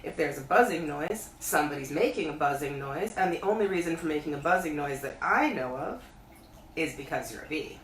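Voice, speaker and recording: A woman voicing a simple, earnest male storybook bear who loves honey and reasons slowly and ponderously. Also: sits low, lively intonation. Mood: pondering. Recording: echoey room, distant mic, faint hiss.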